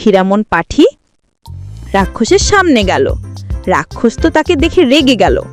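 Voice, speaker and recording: A woman narrates a children's fairy tale. Her voice is fairly high and moves with lively intonation, over soft background music. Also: measured pace. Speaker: storyteller.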